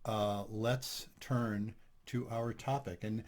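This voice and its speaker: An older man hosting a couples therapy podcast, talking in a relaxed, chatty way with light self-deprecating humor. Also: on the low side, slow pace. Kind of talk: casual podcast chat.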